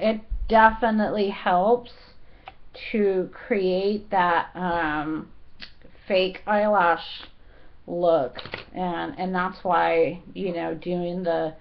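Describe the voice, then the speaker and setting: A woman talking casually through a makeup tutorial, rambling and halting with many fillers, her voice slightly hoarse. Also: fairly low pitch. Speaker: beauty vlogger. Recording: dull lo-fi home recording.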